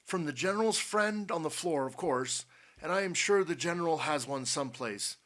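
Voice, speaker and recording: A man voicing an old grandfather in an audiobook story, courteous and deliberate, commanding yet kindly. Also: on the high side, expressive, a bit bright, voice pushed up. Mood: kindly, firm.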